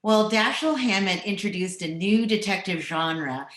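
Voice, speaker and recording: A woman's voice: medium pitch, lively intonation, measured pace, a bit bright, soft background music. A woman announcer reading a scripted introduction for an old-time radio drama. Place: indoor room on a video call. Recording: roomy, echoey home recording.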